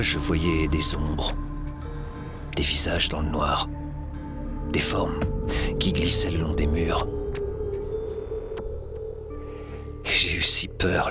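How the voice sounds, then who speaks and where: A man playing a serious, haunted young man who speaks hesitantly in an audio drama. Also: low voice. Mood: hushed, afraid. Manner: hesitant, halting, repeating words. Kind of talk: French audio drama acting.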